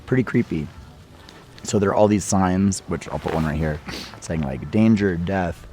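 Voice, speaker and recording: Low, dark-voiced man with a cold. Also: moderate pace, slight background noise. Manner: relaxed, chatty, meditative. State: losing his voice from a cold. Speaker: art vlogger. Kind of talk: casual travel vlog narration. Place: outdoors by a hot spring. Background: faint local music.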